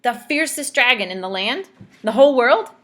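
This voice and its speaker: A woman voicing a bold, clever young princess in a storybook, eager and flattering, with emphatic exclamations. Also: on the high side, normal speed.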